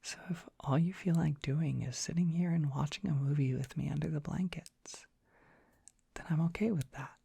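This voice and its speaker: A performer playing a dorky, gentle young dragon boy in an ASMR roleplay, speaking in a soft breathy whisper, tender and bashful. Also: medium pitch, normal speed, dry recording. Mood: fond, bashful. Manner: tender, bashful, hesitant, softly reassuring. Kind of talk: ASMR roleplay, intimate comforting monologue.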